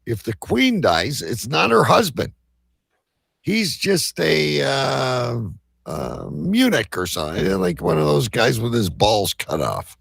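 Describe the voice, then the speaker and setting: A man's voice: mid-range voice, wildly swooping pitch, slow pace, vocal fry, fairly bright tone, slightly rough, no echo, clean studio sound. A man talking as a talk show host in crude, irreverent banter, brash, mocking and argumentative.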